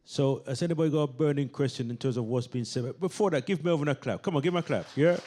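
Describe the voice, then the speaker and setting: A man hosting a live event, loudly rallying the crowd and opening the questions. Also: mid-range voice, quick pace. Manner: loud, upbeat, rallying the crowd. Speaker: event host.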